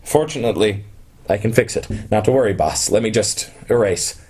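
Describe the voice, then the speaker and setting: A low-pitched man, played by an actor, speaks as a brooding, self-important genius programmer in a radio drama. He is proud and obsessive, confiding his story like a confession. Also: expressive, moderate pace, darkish tone, husky. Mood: calm, assured. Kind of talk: radio play acting with confessional narration.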